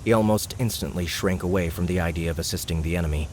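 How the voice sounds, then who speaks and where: Brief: male storyteller for scripted fantasy audiobook, lower register, faint noise behind the voice. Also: quick pace, a little mellow. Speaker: audiobook narrator.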